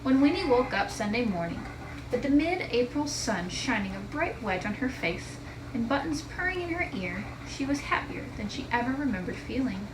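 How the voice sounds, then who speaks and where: A woman's voice: fairly high pitch, sing-song, moderate pace, far from the mic. A woman teacher reading a children's story aloud expressively, recorded in an echoey, noisy room. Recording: echoey room with clear background noise.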